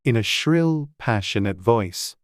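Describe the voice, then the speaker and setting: A woman's voice: a bass voice, animated, takes it slowly, no room sound, studio quality. A woman reading scripted storybook narration as an audiobook narrator.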